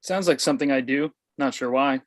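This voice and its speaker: A man's voice: medium pitch, a little fast, lightly acted. A man plays a stubborn middle-aged father in a comedy read-through. He is blunt and dismissive, sounding pleased with himself as he talks. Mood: nonchalant. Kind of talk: comedy script table read.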